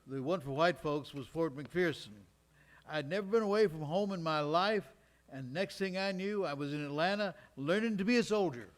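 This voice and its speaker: A man's voice: fairly high pitch, normal speed, natural voice. An actor playing a Georgia World War II veteran, telling his memories in a folksy, wry, conversational way on stage. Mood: reminiscing. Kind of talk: live stage storytelling monologue, conversational. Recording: live stage recording in recreation hall.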